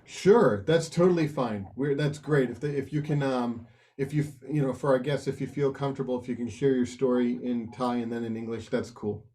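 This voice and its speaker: A man hosting an online panel with a mellow, darker voice. He asks questions in an encouraging way, often restarting his sentences mid-thought. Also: medium pitch, brisk. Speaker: host.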